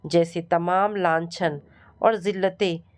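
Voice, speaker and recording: A woman's voice: on the low side, steady tone, slow. A woman storyteller giving a scripted, dramatic narration of a family story.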